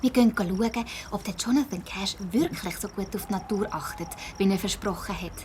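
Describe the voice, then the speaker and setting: A woman's voice: medium pitch, fairly quick, background noise, close to an everyday voice. A woman playing an optimistic, determined girl in a children's audio drama, with a Swiss accent and an eager, persuasive manner. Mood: hushed, curious. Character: optimistic, determined young girl among adventuring friends.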